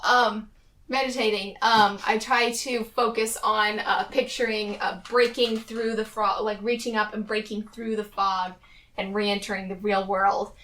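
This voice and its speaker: A woman playing a tabletop roleplaying game, talking casually and haltingly as she thinks aloud. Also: medium pitch, flat delivery, fairly bright tone. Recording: distant microphone, slight noise, clicks.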